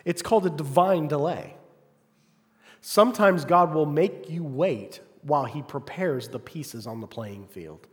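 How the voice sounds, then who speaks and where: Brief: male preacher, slightly high voice, fervent call-and-response delivery, long reverb of a hall. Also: expressive, a little slow. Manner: call-and-response, asks congregation "Amen?"; rises to loud, fervent passages, drops to quiet asides. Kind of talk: sermon.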